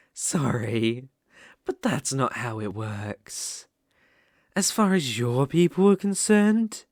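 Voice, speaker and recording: Performer as haughty British demon, ASMR roleplay: sadistic, mocking, unhurried, very animated pitch. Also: no echo, studio quality. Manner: mocking, condescending, theatrical, drawn-out delivery. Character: sadistic, haughty demon lord sneering at human sacrifices.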